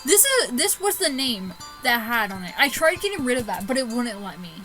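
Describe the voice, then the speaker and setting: A woman's voice: fairly high pitch, big pitch swings, brisk, bright, forceful tone. A woman giving casual, sweary let's play commentary and reading a visual novel aloud, giggly and stumbling over the text. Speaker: gaming YouTuber. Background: music behind the voice.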